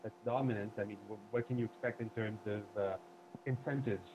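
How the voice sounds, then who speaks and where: Male interview host, halting questioner, clear background noise on the recording. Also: lowish voice, steady tone. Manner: halting, searching for words. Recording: noisy recording.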